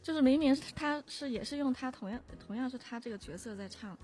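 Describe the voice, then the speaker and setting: A woman's voice: sits high, fast, a little mellow. A woman guest in casual chat, explaining hesitantly and repeating herself. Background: background music.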